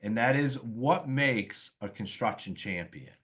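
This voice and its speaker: A man's voice: medium pitch, lively intonation, unhurried, a bit bright. A man hosting a podcast, upbeat and enthusiastic, introducing guests and reading his own promotional spots. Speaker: podcast host. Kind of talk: podcast hosting and self-read ad.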